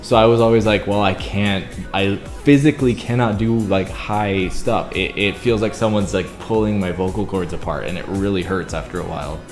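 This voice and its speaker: Deep-voiced young man vlogging. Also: fairly even intonation, moderate pace, few pauses, roomy sound, faint music behind. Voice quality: very deep baritone voice. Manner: chatty, earnest, many "like" fillers. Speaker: YouTuber and singer. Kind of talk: casual vlog talk to viewers.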